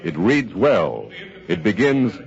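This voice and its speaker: A man's voice: sits low, wildly swooping pitch, slow, gravelly, heavily acted. A man playing an earnest radio reporter, giving formal, solemn live commentary in 1940s radio style. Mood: grave reporting. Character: earnest radio newsman covering history as live news. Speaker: reporter and narrator. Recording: noisy, muffled 1940s radio recording.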